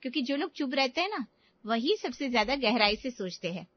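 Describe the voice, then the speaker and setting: A woman plays a reassuring middle-aged mother in an audio drama. Her voice sits somewhat high, with a soothing manner. The recording is very dry and slightly dull. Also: big pitch swings, a little fast, dry recording. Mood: comforting. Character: caring, reassuring middle-aged Indian mother of two daughters. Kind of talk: Hindi audio drama acting.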